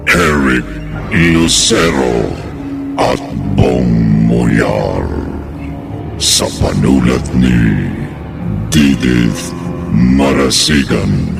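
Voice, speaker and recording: A man with a Filipino accent narrating a horror radio drama in an ominous, theatrical, gravelly style, very slowly. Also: extremely low pitch. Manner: slow, theatrical. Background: music.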